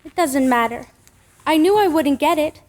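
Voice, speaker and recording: A skeptical little girl voiced by a woman in old-time radio style. The voice is high, the delivery plain-spoken and a bit sulky. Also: lively intonation, moderate pace. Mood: disappointed, doubting.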